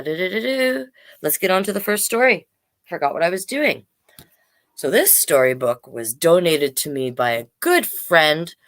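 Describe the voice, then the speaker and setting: Storytime host: woman, low voice, informal and rambling, mixing picture-book reading with loose chat. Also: fairly bright tone. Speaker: drag king storytime host and reader.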